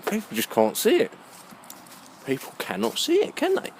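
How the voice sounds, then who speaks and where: A man giving a rambling, halting monologue as he walks outdoors, musing and questioning himself. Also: sits high, wildly swooping pitch, vocal fry, a little hiss or hum. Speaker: vlogger. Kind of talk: rambling walk-and-talk monologue. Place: outdoors, walking along a muddy alleyway.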